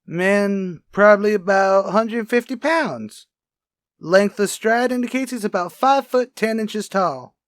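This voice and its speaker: A man playing a wry, chatty police lab technician in a radio crime drama, brisk and casual. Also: high voice, lively intonation, dry recording, clean studio sound. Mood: matter-of-fact. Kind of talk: old-time radio crime drama acting.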